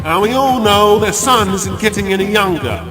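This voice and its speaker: A man's voice: high voice, expressive, moderate pace, over music, poor audio. A man playing a grandiose techie who preaches galactic domination, speaking in a pompous, declamatory way in a radio drama. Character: grandiose male techie space-colony zealot.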